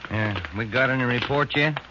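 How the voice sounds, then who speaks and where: A muffled vintage radio recording with clear background noise has a police sergeant played by a man speaking at a medium pitch. He is the plain-spoken, businesslike partner in a 1950s crime drama. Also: normal speed, lightly acted.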